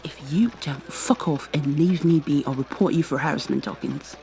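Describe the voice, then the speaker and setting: A woman's voice: sits low, moderate pace, gravelly, dark tone, a little hiss or hum. A woman playing a proud, exasperated goo girl special agent with prim, formal wording in an ASMR roleplay. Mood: indignant. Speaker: voice actor in an audio roleplay.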